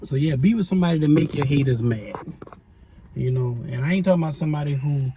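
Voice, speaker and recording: A man's voice: on the high side, fast, background noise. A middle-aged man co-hosting a relationship advice show, lecturing viewers in a folksy, preachy way and calling them y'all. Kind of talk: casual advice chat to viewers.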